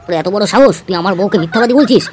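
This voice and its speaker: Guy doing a blustery village husband in a funny cartoon, talks really fast, bit of background hiss. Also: high-pitched, expressive. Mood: furious. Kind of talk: amateur comedy cartoon dub.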